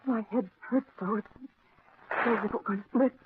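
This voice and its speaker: A woman playing a frightened, submissive young wife in old-time radio melodrama style, halting, anguished and pleading. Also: on the high side, close to an everyday voice. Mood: pained, straining. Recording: tinny, noisy old radio recording.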